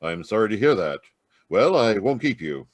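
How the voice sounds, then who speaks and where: Guy as a polite frontier sergeant in a radio play, lowish voice, lively, dry. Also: moderate pace, dry recording. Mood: courteous. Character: calm, kindly lawman of the frontier north. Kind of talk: old-time radio drama acting.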